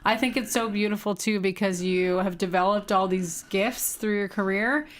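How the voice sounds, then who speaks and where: A woman co-host chatting on a podcast, eager and affirming as she reflects back on what her guest says. Her voice sits at an ordinary pitch for a woman. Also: fairly even intonation, moderate pace. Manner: enthusiastic, affirming, with many backchannels. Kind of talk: casual chat.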